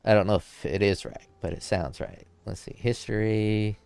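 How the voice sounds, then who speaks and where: A man with a low, smooth voice talks into a desk mic in front of his keyboard, streaming a game from home. He rambles in a relaxed, joking way and is a little drunk. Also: normal speed, no room sound. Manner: laid-back, rambling, joking. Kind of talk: casual livestream chat, reading chat aloud.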